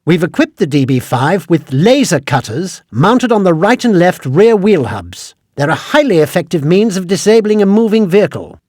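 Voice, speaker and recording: A fussy older British quartermaster briefing an agent in a video game. His high voice swoops with exasperation, every instruction formal and precise. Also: big pitch swings, moderate pace, hardly any pauses, darkish tone, no echo, studio quality. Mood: officious briefing.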